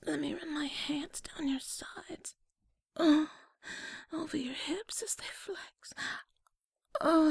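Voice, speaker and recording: A woman in an intimate audio roleplay, speaking close to the mic in soft, breathy whispers and sighs. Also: high-pitched, no echo.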